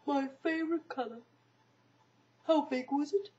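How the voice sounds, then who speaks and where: A woman voicing a gloomy, mournful male donkey with slow, drawn-out speech. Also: high voice, darkish tone. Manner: slow, drawn-out, glum delivery. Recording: dull lo-fi recording.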